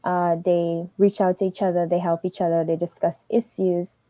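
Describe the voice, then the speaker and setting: Woman giving quick earnest advice. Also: on the low side, flat delivery, dark tone, dry recording, lo-fi sound. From Trinidad and Tobago. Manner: fast, earnest, advising directly. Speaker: English teaching assistant. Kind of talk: livestream advice talk.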